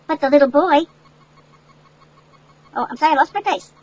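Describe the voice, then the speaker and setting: An older woman reading a picture book aloud to children in an animated, folksy way, with chatty asides that explain things. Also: sits high, moderate pace, muffled recording. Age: older, great-grandmother. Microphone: headset.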